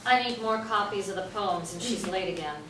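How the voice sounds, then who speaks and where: A woman's voice: mid-range voice, a little fast, fairly bright tone, lightly acted. An actress in a staged play reading playing an anxious, fussy woman playwright, curt and exasperated. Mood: irritable. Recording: echoey stage, distant mic, noisy room.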